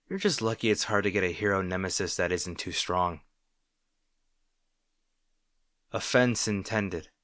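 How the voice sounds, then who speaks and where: A man acts out a male supervillain rival in an audio roleplay, speaking straight to the listener. His voice sits low and is recorded very dry, with no room around it. He is sardonic and teasing, with a hidden caring streak that makes him halting when flustered. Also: a bit bright, dry recording. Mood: exasperated, grudgingly concerned. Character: snarky male supervillain rival, secretly worried about his hero. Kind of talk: dramatic roleplay monologue addressed to the listener.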